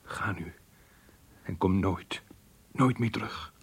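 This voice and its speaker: Casting: weary, self-doubting husband and schoolmaster, radio drama, deep restrained voice. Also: male voice, slow, husky, no echo, full character voice. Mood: stern. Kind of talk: Dutch radio play acting and monologue.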